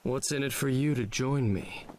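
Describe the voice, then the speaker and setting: A man's voice: mid-range voice, moderate pace, a little hiss or hum, close to an everyday voice. A man playing a cold, suspicious, brooding teenage ninja in an anime game, curt and aloof. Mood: cold suspicion. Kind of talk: English anime game voice acting. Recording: music behind the voice.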